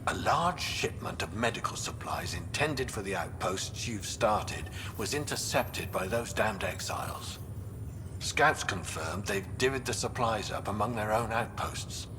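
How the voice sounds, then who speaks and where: A man's voice: lowish voice, animated, measured pace. A man playing a blunt, disgruntled outpost colleague in a video game, with a salty way of talking. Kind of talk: scripted video game dialogue. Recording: reverberant, clearly noisy background.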